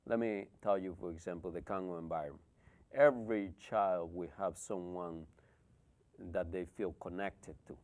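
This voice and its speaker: A man's voice: sits low, steady tone, moderate pace. A middle-aged man from the Republic, an education official speaking earnestly as a talk show guest. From Dominican Republic. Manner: earnest, warm-hearted explanations with fillers. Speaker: school superintendent. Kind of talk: interview guest.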